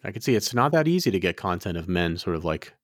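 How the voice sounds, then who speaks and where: A man's voice: sits low, sing-song, fast talker, no room sound. A man co-hosting a podcast, talking frankly and rambling, with many restarts. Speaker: podcast co-host. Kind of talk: casual podcast chat.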